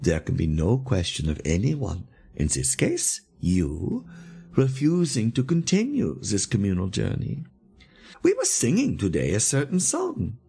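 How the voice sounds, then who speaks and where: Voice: mid-range voice, huge pitch swings, unhurried, vocal fry, warm tone, husky, heavily acted. A narrator playing a stern, controlling male tour leader in a literary story, grim and patronizing. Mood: quiet, scolding. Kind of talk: dramatised literary reading.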